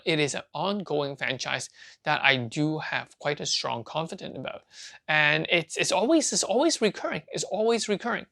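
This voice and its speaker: Card-channel host, male, raised in Canada and Hong Kong: a halting, repetitive casual talker with a bit of fry. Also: fairly high pitch, pushed and bright. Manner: rambling, halting, many restarts and fillers. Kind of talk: casual talk to camera.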